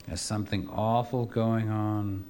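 Slow, low-voiced drunk poet. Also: male voice, level tone, dark tone, a little hiss or hum. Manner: slow, gruff and profane, drifting into rambling banter between poems. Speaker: poet reading his work to an audience. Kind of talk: live poetry reading with rambling asides.

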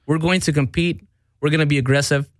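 A man hosting a sports radio show, talking casually, lively and teasing, quick to cut in. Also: on the high side, dry recording, studio quality.

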